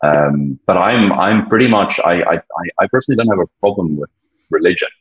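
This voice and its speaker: A young South African man with a deep, husky voice speaks earnestly about his past, often stopping and restarting mid-thought. Afrikaans is his first language, and the recording sounds dull and lo-fi. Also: animated. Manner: earnest, halting, many restarts. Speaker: interview guest. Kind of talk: personal testimony, reflective.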